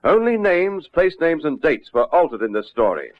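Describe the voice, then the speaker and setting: A man's voice: fairly high pitch, very animated, moderate pace. A man hosting and narrating a scripted crime drama in a formal announcer's manner. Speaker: narrator and host. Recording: dull lo-fi old radio recording.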